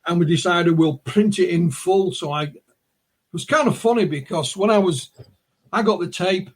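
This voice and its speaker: A man's voice: high voice, normal speed, slightly rough. A man with a Northern English accent, a guest on an interview podcast, retelling witness accounts at length and often restarting his words. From North of England. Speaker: guest, UFO researcher and author.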